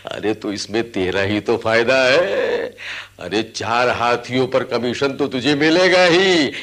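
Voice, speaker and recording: Wheedling boss, wildly swooping husky voice. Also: male voice, medium pitch, a bit bright, exaggerated voice. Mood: coaxing, patronising. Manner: drawn-out coaxing, patronising address with frequent exclamations. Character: greedy, wheedling older male boss of elephant catchers. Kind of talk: Hindi radio drama acting.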